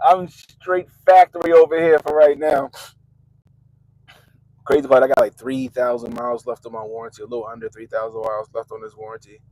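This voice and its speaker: A man's voice: medium pitch, little pitch movement, fairly quick, a little mellow, dry recording, low-quality recording. A congested man hosting his vlog, talking in a loose, profane, laughing rant from inside his car. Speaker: vlogger host. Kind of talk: casual profane commentary.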